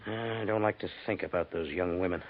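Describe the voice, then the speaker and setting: A man's voice: low voice, a little hiss or hum, natural voice. Guy doing a by-the-book army major, stern and harried, old 50s radio sound, bit dull. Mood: troubled. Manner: official, exasperated, deliberate. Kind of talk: 1950s radio western acting. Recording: dull 1950s radio recording.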